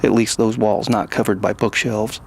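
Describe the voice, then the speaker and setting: A man's voice: on the low side, level tone, moderate pace, gravelly, a little hiss or hum. A man hosting and narrating a scripted science fiction story. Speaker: host and narrator.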